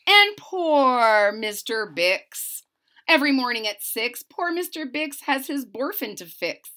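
A woman's voice: sits high, very animated, slow, sharp tone. A woman in her forties reading a children's picture book aloud expressively, stressing key words theatrically and adding casual asides. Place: small room at home.

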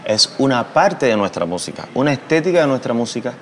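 A man's voice: mid-range voice, a little fast, a little hiss or hum. A Cuban musician in his thirties answering interview questions in a reflective, hesitant way, with a Cuban Spanish accent, filmed seated indoors by a large window. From Cuba. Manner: reflective, hesitant with fillers and restarts, expressive hand gestures.

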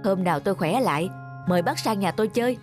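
Big, easygoing cartoon neighbour. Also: male voice, high voice, expressive, fast talker, faint music behind. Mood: modest, friendly. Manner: easygoing, self-effacing. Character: big, modest, good-hearted moustached neighbour man. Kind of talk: cartoon dubbing.

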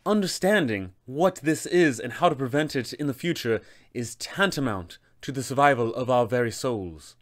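A man's voice: mid-range voice, lively intonation, natural voice. Man voicing a formal, haughty elven lich sorceress. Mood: formal, persuasive. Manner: formal, measured, theatrical.

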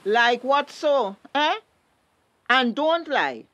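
A woman's voice: sits high, sing-song, very slow, a bit bright, heavily acted. A middle-aged woman with a Tobagonian accent acting a protective, reproachful mother in a radio drama. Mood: scolding. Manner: reproachful, lecturing. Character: scolding, protective mother of a grown daughter.

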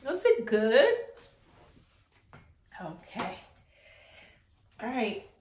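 A woman's voice: a little high, big pitch swings, drawn-out words, a little mellow, slight background noise. A woman in her thirties teaching young children as a preschool teacher, encouraging them and often checking in with questions. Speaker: preschool teacher hosting an art lesson. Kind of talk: instructional talk to young children. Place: small indoor classroom-style room. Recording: echoey indoor room.

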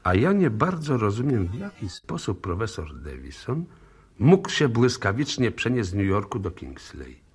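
Insistent police inspector, husky, very animated intonation, brisk, probing, vintage radio play. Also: male voice, fairly low pitch, heavily acted. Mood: sardonic. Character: brisk, persistent male police inspector on a case. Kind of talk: 1960s Polish radio play acting.

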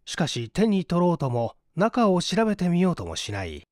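Man, dramatic story narration, lively intonation, dry studio-like sound. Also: high-pitched, normal speed, no room sound. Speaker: audiobook narrator, stage actor. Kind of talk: dramatic scripted story reading.

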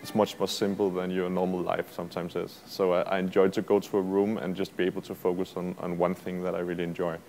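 A Danish man in his thirties, a violist, answering interview questions in a thoughtful, unhurried way. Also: low voice, level tone, darkish tone, soft background music. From Denmark. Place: museum gallery.

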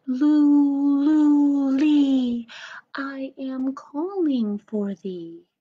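A woman voicing a gentle, anxious little shepherdess in a storybook, calling out in a tender, drawn-out lilt. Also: high voice, dull audio, pitched above the performer's own voice. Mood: plaintive singing. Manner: lilting singsong, drawn-out calls.

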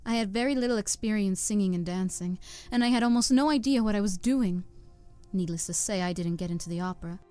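A woman's voice: mid-range voice, quick pace, darkish tone, smooth, faint music behind, close to an everyday voice. A woman voicing an earnest young opera singer. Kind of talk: fan audio drama acting.

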